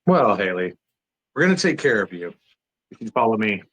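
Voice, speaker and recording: A man playing a calm, genial older doctor in a script table read, measured and politely reassuring. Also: fairly low pitch, husky, exaggerated voice.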